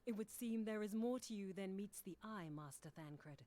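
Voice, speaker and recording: A woman's voice: mid-range voice, expressive, moderate pace, dark tone, close to an everyday voice. An actress playing a courteous, solemn woman warrior in a video game, with humble, formal, archaic phrasing. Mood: wry.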